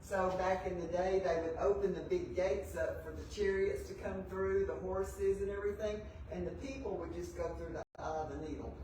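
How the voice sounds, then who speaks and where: Low-voiced woman, distant and roomy. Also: steady tone, normal speed, hardly any pauses, warm tone. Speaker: gallery owner and antiques importer. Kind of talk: casual explanatory talk. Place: inside a large shop. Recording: distant, roomy, noisy location sound.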